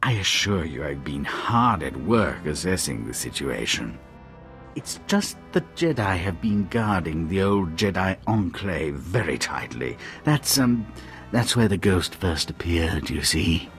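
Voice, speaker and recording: Deep-voiced, fawning Sith schemer. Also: male voice, sing-song, a little slow, a bit bright, soft background music, exaggerated voice. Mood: fawning, evasive. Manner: unctuous, hesitant, self-deprecating. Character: obsequious, scheming male Sith informant, sly and fawning. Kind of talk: video game voice acting.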